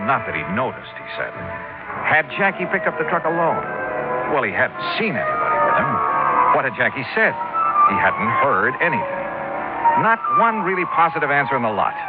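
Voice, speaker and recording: A man playing a brisk, sceptical hard-boiled investigator in a 1950s radio noir, clipped and probing. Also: mid-range voice, close to an everyday voice. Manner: clipped, probing, matter-of-fact. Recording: muffled 1950s radio recording.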